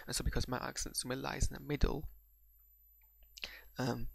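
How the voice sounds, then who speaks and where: Gravelly man with a Bradford-Pakistani accent. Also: mid-range voice, level tone, moderate pace, dry recording. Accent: mixed Bradford and Pakistani. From England. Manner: hesitant, repeats words while gathering thoughts. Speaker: video reviewer. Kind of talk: unscripted reaction monologue.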